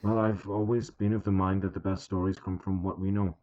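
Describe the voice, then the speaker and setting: Muffled, low, dark-voiced cryptic writer, acted by a man. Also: flat delivery, a little fast, close to an everyday voice. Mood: grave, cryptic. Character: cryptic, grave male horror radio writer being interviewed. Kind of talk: 1950s radio interview acting. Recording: muffled vintage radio interview tape.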